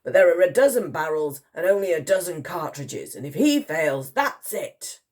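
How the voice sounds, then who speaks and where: A woman's voice: on the low side, very animated, normal speed, a little mellow. Woman putting on a blustering, barking male Admiral. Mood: huffy. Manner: barking, huffy. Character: blustering, commanding older male Admiral, quick to rage.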